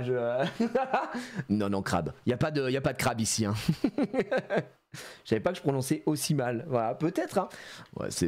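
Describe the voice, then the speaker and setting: Lively, jokey man streaming card openings. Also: fairly high pitch. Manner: animated and jokey, laughs often, plays on words. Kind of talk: casual live-stream chat.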